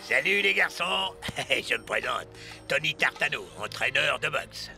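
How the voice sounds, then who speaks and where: A man playing a sly cartoon henchman who poses as a boxing trainer, gravelly and smarmy. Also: medium pitch, animated, measured pace, over music. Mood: smarmy flattery. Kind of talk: French animated cartoon acting.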